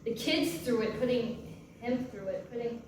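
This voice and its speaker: A woman playing a guarded, sardonic young divorced mother in a staged reading of a play, with a halting, wry delivery. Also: a little high, steady tone, darkish tone, lightly acted. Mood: hesitant, regretful. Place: large echoey room, recorded from far off. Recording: distant, very echoey room with faint noise.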